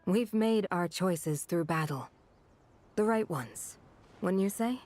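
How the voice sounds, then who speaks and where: Casting: steady, loyal desert woman for a game, lowish register, earnest delivery. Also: takes it slowly, soft background music. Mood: composed, earnest. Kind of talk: video game voice acting.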